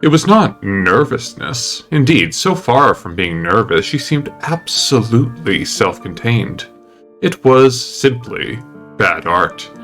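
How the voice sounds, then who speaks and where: Male storyteller for literary audiobook narration, dramatic delivery, mid-pitched, music bed underneath. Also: big pitch swings, slow pace, a bit bright. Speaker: narrator reading a novel aloud.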